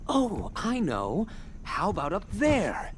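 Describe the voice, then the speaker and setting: A man's voice: high-pitched, wildly swooping pitch, slow, a little hiss or hum, full character voice. An actor playing a boastful, arrogant male bird-warrior archer, with haughty, mocking delivery. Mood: taunting. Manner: haughty, sneering, theatrical taunts. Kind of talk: video game cutscene acting.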